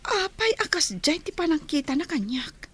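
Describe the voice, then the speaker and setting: A woman playing a puzzled, polite village eatery keeper in a radio drama, questioning in drawn-out phrases with an Ilocano accent. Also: high-pitched, sing-song, fairly bright tone. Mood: curious, puzzled.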